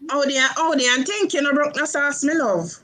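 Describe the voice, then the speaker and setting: Jamaican woman joking around, affectionate, bit of a high voice. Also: a little fast, fairly bright tone. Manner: teasing, affectionate, uses patois endearments. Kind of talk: casual group chat.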